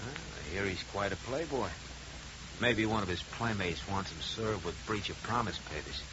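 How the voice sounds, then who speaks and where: An American actor playing a shady, streetwise small-time investigator, touchy and defensive, with slangy bluster in a 1950s radio drama. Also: male voice, fairly low pitch, lively intonation, moderate pace, lightly acted. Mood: cagey. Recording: muffled, very hissy 1950 radio recording.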